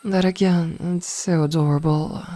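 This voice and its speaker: A woman playing a possessive, teasing mage in an ASMR fantasy roleplay, speaking softly and coaxingly with condescending endearments. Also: sits low, fairly even intonation, moderate pace, lightly acted. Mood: teasing, confident. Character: possessive, teasing female mage hypnotizing a burglar.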